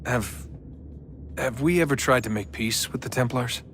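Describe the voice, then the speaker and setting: A man's voice: mid-range voice, normal speed, fairly bright tone, a little hiss or hum, lightly acted. An actor playing a dry, sardonic young man in a game cutscene. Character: wry young adult male assassin descendant. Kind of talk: video game cutscene acting.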